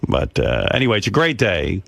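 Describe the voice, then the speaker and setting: A man's voice: fairly low pitch, wildly swooping pitch, fairly quick, vocal fry, a bit bright, no echo. A man hosting a radio talk show, ranting emphatically with plenty of profanity. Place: radio studio. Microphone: studio microphone.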